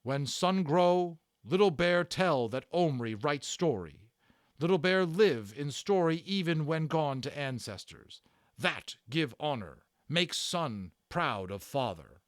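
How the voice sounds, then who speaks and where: Proud warrior chief for a storybook, played by a man: stern, weighty, clipped broken grammar, very animated pitch. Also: slow pace, dry recording, studio quality. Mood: proud, earnest. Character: proud, stern male warrior chief, bitter yet honorable. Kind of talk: dramatic storybook character reading.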